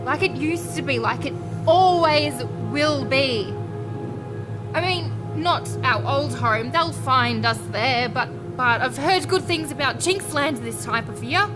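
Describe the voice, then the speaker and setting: A wicked witch with a high, unhurried voice. She is manipulative and spiteful, sliding between coaxing and sharp scolding, in a fantasy audio drama. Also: expressive, over music. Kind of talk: full-cast fantasy audio drama acting.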